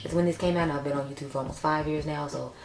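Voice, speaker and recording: A young woman with a raspy voice, chatting casually and upbeat as she reviews makeup for her vlog. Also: sits low, steady tone, very fast, slight background noise. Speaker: beauty YouTuber. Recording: echoey room.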